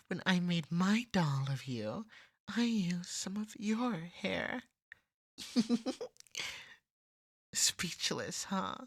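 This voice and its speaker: A woman's voice: lowish voice, slightly rough, dry recording, clean studio sound, exaggerated voice. A woman voicing a jealous, possessive cat girl in an ASMR roleplay, snide and self-satisfied but cooing and lovesick.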